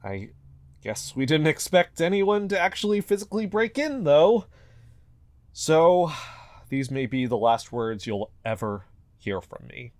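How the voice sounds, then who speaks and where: A man playing an anxious, paranoid fugitive podcaster in an audio drama, earnestly talking to himself in halting mutters. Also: a little high, very animated, a little mellow, no room sound. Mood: resigned, uneasy. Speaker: investigative podcast host in an audio fiction. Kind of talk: audio drama found-recording monologue.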